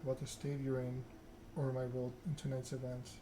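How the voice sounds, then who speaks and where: A man voicing a curt, coldly controlled vampire enforcer from a story game, speaking in a measured, threatening manner. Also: medium pitch, completely flat delivery, lightly acted. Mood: guarded. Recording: clear background noise.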